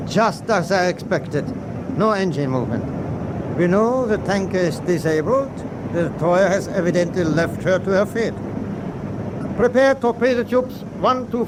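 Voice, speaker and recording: An actor playing a stern, commanding German submarine captain in a wartime radio drama. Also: male voice, sits high. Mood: brisk command. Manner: clipped, commanding military delivery. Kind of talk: full-cast war radio drama acting. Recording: loud sea and engine noise behind.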